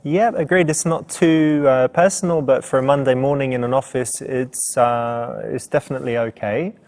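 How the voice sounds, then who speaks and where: A man presenting as a dating coach, explaining things in a halting, conversational way. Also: medium pitch, steady tone, darkish tone. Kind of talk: casual explanatory commentary.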